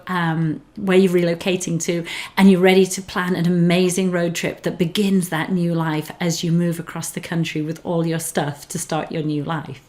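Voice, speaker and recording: A woman with an English accent who grew up in northern England, speaking as a relocation specialist in an earnest, encouraging talk to camera. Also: on the low side. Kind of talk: scripted personal talk to camera.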